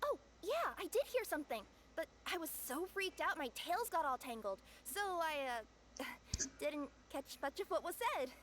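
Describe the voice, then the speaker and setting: A woman voicing an excitable, flustered young cat spirit courier in a video game, stammering and exclaiming. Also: sits high, normal speed, a little hiss or hum. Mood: eager, flustered.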